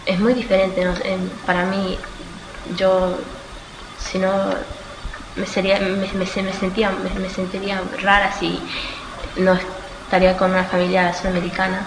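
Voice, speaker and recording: An eighteen-year-old woman from Australia answers interview questions informally, haltingly searching for words and correcting herself. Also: mid-range voice, monotone. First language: Spanish. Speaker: student being interviewed. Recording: echoey room with clear background noise.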